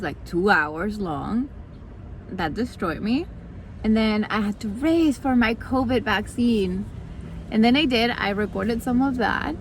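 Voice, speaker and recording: High-voiced young woman vlogging, noisy recording. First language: Spanish. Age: 20s. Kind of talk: casual vlog chat.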